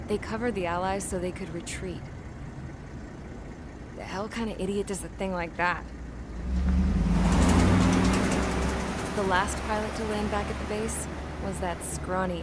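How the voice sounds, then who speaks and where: A woman's voice: mid-range voice, heavy background noise, close to an everyday voice. An actress playing a tough young woman mechanic, narrating in a wry, blunt, slangy manner. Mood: wry, curious. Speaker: aircraft mechanic. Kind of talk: scripted first-person narration.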